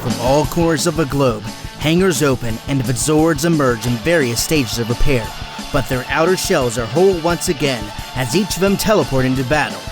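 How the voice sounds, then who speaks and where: A man's voice: mid-range voice, animated, moderate pace, over loud music. A man reading scripted dramatic narration for an audio drama.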